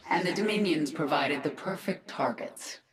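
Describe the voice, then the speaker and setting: A woman as low-voiced, echoing swarm warlord. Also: moderate pace, lightly acted. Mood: cold, determined. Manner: commanding, imperious. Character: commanding, ruthless female swarm warlord, determined and impatient. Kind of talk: video game character dialogue. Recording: echoing, noisy game audio.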